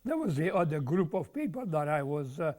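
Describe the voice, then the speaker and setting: A man, speaking haltingly in an oral history interview about his life. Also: on the high side, big pitch swings, a little mellow. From Croatia. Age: 90s. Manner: halting, with many pauses and fillers. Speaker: veteran being interviewed.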